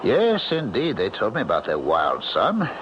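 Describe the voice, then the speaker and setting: An actor playing a rational, middle-aged country doctor in an old-time radio drama, speaking in a measured, educated, avuncular manner. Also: male voice, lowish voice, wildly swooping pitch. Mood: brisk, kindly. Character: rational, skeptical country doctor, brisk and kindly. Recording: muffled, noisy 1970s radio broadcast.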